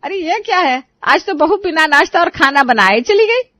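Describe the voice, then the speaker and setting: A woman's voice: a little high, a little fast, dry recording, clean studio sound, natural voice. A woman voicing an irritable, scolding older mother-in-law and grandmother in a dramatised story. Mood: annoyed. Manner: sharp, nagging, indignant.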